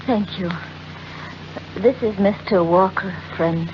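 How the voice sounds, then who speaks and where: A woman's voice: medium pitch, slow, natural voice. An actress playing a frightened, wealthy married woman under blackmail in old radio drama, pleading and melodramatic. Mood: anxious. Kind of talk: 1940s radio acting. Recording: dull, noisy old radio recording.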